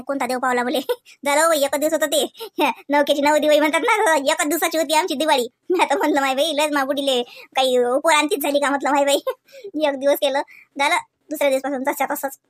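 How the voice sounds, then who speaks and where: A woman plays a harried village daughter-in-law from a comedy cartoon. She has a high voice and chatters quickly with a rural Varhadi Marathi accent. Her manner is gossipy and put-upon. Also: fairly bright tone, no echo, studio quality. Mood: chatty gossip. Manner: gossipy, complaining, colloquial.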